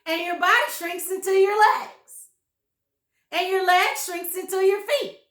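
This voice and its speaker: A woman's voice: extremely high pitch, a little slow, a bit bright, roomy sound, pitched above the performer's own voice. A woman voicing a nasty old husband who taunts his wife with a cruel trick, in a storybook reading. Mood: gloating. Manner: taunting, mock-alarmed, jeering.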